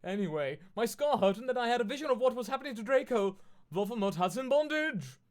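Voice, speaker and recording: A man voicing a melodramatic, crude teenage goth girl in a shrill, overwrought way. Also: big pitch swings, quick pace. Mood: whiny. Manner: shrill, breathless, overwrought delivery.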